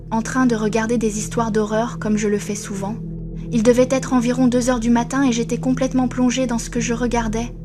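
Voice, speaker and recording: A woman narrates a first-person horror story in a steady, scripted manner. Her voice sits a little high and stays strikingly flat, barely rising or falling. Faint music plays beneath her.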